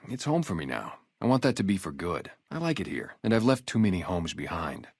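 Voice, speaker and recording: A low-pitched, streetwise male sniper from a post-apocalyptic video game. He is a guarded, loyal former gang member turned town guard. His casual talk moves freely up and down, recorded close and dry. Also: no echo. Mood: matter-of-fact. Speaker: security guard and former soldier. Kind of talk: video game character dialogue, naturalistic.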